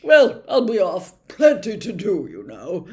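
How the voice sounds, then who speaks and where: A woman's voice: medium pitch, huge pitch swings, unhurried, warm tone. A woman voicing a jovial, blustering middle-aged male government minister in a storybook reading, effusive and given to hemming. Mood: hearty, evasive. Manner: effusive, hesitant, hemming and throat-clearing. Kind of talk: audiobook character reading.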